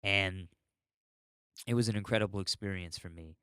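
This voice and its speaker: Low-voiced American man, unfiltered podcast rambler. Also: normal speed, sharp tone, clean tone, no echo, studio quality. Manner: unfiltered, sweary, ranting. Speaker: podcast host. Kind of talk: rambling solo podcast monologue.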